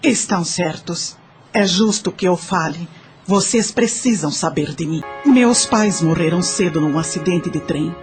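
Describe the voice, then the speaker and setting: A woman's voice: fairly low pitch, a little slow, lightly acted. A woman playing a wounded, forgiving aunt in an audio drama, with an earnest, emotional, plaintive delivery. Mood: sad, confiding. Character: wounded, forgiving aunt confessing her sad past. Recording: music under the voice.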